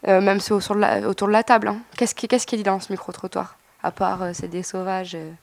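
A woman moderates a live school radio discussion and invites the audience to speak. She restarts her questions a little hesitantly. Her voice sounds dry and close, at a middle pitch. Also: expressive, fast, gravelly, no echo.

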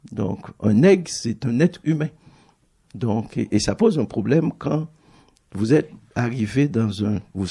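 Gravelly, husky-voiced man speaking reflectively. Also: medium pitch, mellow. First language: Creole. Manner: thoughtful, halting, restarts phrases often. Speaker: book publisher. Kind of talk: reflective public talk.

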